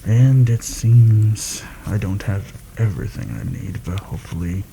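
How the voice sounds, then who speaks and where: Guy doing a low creaky soft spoken assassin for asmr roleplay, wary, seasoned, takes his time, noisy recording. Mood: focused, businesslike. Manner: measured, hushed, deliberate. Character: seasoned male fantasy assassin, wary, proud, quietly thrill-seeking.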